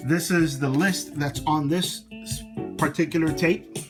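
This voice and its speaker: A middle-aged man talks in a casual, informal vlog style, as if showing things to friends and thinking aloud. His voice sits a little higher than most men's. Music plays clearly behind him as he speaks. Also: fairly even intonation, unhurried. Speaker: vlog host showing a personal tape collection. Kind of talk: casual chatty show-and-tell demonstration.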